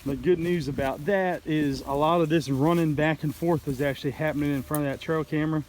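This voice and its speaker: A man telling a story casually in a halting, rambling way, like an outdoor vlog host talking to the camera. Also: fairly high pitch, steady tone, a little mellow. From United States. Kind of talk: casual play-by-play hunting storytelling. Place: outdoors in woods by a creek. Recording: noisy outdoor ambience.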